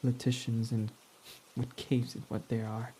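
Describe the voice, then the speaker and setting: A guarded, foul-mouthed villain who is secretly devoted, as a young man plays him for an ASMR roleplay. His voice sits warm and mellow and close to the microphone, talking in an intimate, confessional way. A soft patter of rain runs faintly behind him. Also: medium pitch, monotone, moderate pace, slight background noise, close to an everyday voice, rough recording. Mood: bitter grief. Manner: intimate and confessional, swearing freely. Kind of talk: ASMR roleplay audio drama.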